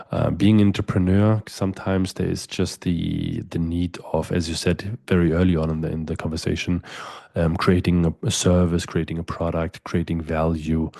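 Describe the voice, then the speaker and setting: A man's voice: low voice, little pitch movement, normal speed, gravelly, dark tone, dry recording, studio quality. A middle-aged man hosting a podcast, asking long, winding interview questions with frequent restarts. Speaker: podcast host.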